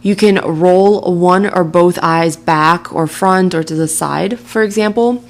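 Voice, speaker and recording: A woman narrating a software tutorial in a casual, chatty way, laughing lightly now and then. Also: fairly low pitch, slow pace. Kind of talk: screen-recording tutorial narration.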